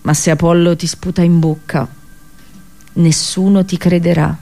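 An actress playing a captive Trojan princess and prophetess in a solemn radio theatre monologue, grave and sorrowful, with literary, declamatory phrasing. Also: female voice, fairly low pitch, level tone, a little slow, warm tone, soft background music. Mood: resigned, sorrowful.

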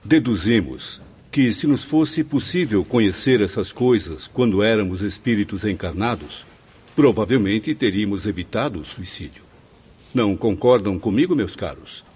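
A man acting a repentant professor's spirit in a Brazilian radio drama, earnest, deliberate and declamatory. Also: lowish voice, expressive, a little mellow, slightly rough. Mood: earnest, resolute. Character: repentant male professor's spirit, fervently hopeful and resolute.

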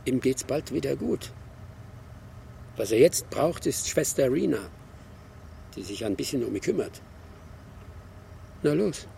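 An actor playing a commanding older country man in a radio drama, gravelly, curt and dry. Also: medium pitch, very animated, measured pace, pauses often, warm tone, slight background noise, heavily acted. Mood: gruff, reassuring.

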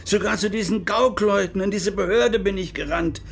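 An actor in a radio drama playing a bitter, stubborn old former army major, halting and agitated, with a gravelly, husky voice. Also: male voice, high voice, fairly even intonation, a bit bright, heavily acted. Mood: agitated, indignant. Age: older. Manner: halting, agitated, self-reproaching, with outbursts. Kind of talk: German radio crime drama acting, interview monologue.

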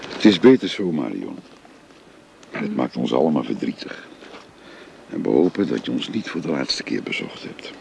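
An actor playing an older father who gently confides his family history to a young woman, in a radio drama. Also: a bass voice, big pitch swings, normal speed, gravelly, long pauses, slight background noise, full character voice. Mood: gentle, confiding. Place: recorded on location.

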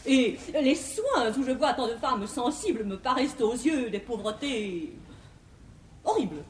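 High, swooping, haughty prudish bluestocking. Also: female voice, very animated, measured pace. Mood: exalted preaching. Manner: declamatory, theatrical classical verse delivery. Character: haughty, prudish bluestocking young woman scorning marriage. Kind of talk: 1950s French classical stage comedy acting. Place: large theatre stage with live audience. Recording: echoey theatre stage, faint hiss.

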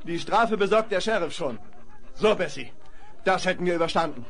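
Eager young cowboy hero, high lively voice, earnest, noisy old radio play. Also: male voice, moderate pace. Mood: relieved. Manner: earnest, urgent. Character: plucky, eager young male Western hero with dog. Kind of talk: 1970s German children's radio play acting.

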